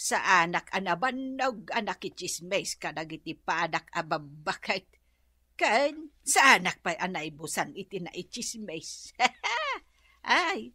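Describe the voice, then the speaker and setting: An Ilocano radio comedy gossip, giggly and boastful. Her delivery swings widely and takes its time, with drawn-out exclamations and confiding asides. The sound is close and dry. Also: mid-range voice, sharp tone, dry recording, heavily acted. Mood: gleeful. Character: gossipy, boastful neighborhood woman, giggly and excitable.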